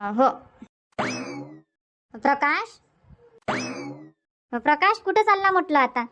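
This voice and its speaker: A woman's voice: high-pitched, lively intonation, takes it slowly, bright, forceful tone, full character voice. A woman voicing a bossy, fussing village mother-in-law in a cartoon comedy, colloquial and repetitive, with a rural Varhadi Marathi accent. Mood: instructing. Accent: rural Varhadi Marathi from Vidarbha.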